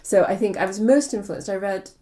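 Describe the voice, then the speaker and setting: A woman's voice: medium pitch, a little fast, warm tone. A woman speaking conversationally as an interviewed author, explaining things reflectively and repeating words for emphasis. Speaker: interviewed novelist.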